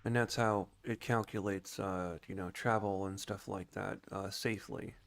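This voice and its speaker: Man, lowish voice, game streamer, informal, explanatory, thinking aloud. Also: steady tone, normal speed, gravelly. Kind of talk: casual gameplay commentary and explanation.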